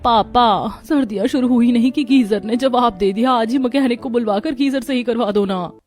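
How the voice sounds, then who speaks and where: A woman voices a spoiled young daughter in a storybook moral tale. She is carefree and coaxing, with a girlish, raised pitch. Faint music plays behind her. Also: moderate pace, a little mellow, natural voice. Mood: casual. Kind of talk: Hindi moral story voice acting.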